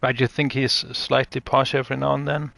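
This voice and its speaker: A man's voice: medium pitch, flat delivery, brisk, gravelly, bright, forceful tone, dry recording. A man in a casual analytical discussion, speaking tentatively and thinking aloud as an interviewer. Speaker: interviewer researching game voices.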